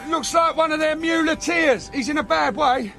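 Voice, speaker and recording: A plain-spoken army corporal. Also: male voice, very high-pitched, moderate pace, gravelly, bright, forceful tone, full character voice. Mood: reporting. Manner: clipped, plain-spoken soldier. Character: rough, dutiful army corporal. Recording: radio drama with music.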